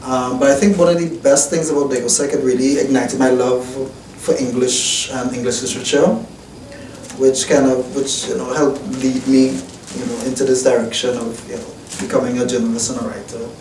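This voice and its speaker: Soft-spoken man in an echoey office. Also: medium pitch, flat delivery, brisk. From Trinidad and Tobago. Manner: hesitant, many restarts and "you know" fillers. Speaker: newspaper reporter. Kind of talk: reflective interview answers. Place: newspaper office. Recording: roomy, distant mic, faint noise.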